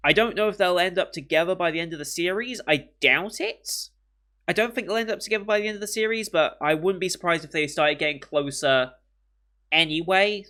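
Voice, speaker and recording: A young British man talks casually to his viewers with a bright, forward voice and a slight sniffle from a coming cold. He rambles, backtracks and fills gaps with ums as he thinks aloud. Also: on the high side. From Britain. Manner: rambling, with many ums and restarts. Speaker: anime reaction host. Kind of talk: casual chat to camera.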